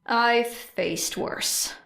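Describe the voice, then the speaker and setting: A woman voicing a weary, sardonic teenage girl student in a deadpan, understated manner. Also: a little high, extremely slow, vocal fry, clean tone, no room sound, heavily acted. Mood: guarded. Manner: dry, unemotional, understated.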